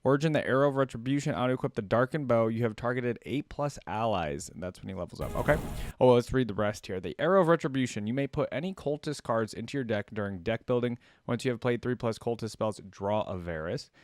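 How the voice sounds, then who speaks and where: A man's voice: mid-range voice, animated, quick pace, a little mellow, no echo. Young man, casual reaction host, dry close sound. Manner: casual, musing, reads aloud then comments. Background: faint music.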